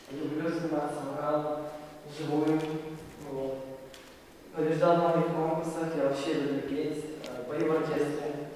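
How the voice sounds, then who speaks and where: Teenage boy, young high register, hesitant self-introduction on stage, distant in a reverberant hall. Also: a little mellow, a little hiss or hum. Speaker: young contest-winning singer. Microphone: handheld microphone. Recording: very echoey hall, distant sound.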